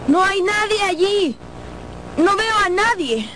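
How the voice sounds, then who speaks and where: A woman's voice: extremely high pitch, lively intonation, slow pace, a bit bright, a little hiss or hum, exaggerated voice, rough recording. An actress playing a young captive woman in a radio drama, coaxing and carefully feigning innocence. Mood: feigned calm. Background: music.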